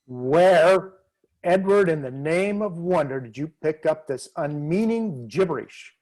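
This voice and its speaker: A man's voice: a little high, lively intonation, measured pace, darkish tone, low-quality recording. A man reading the part of a stern, scornful elderly father, speaking with mocking indignation in a dramatic reading over a video call.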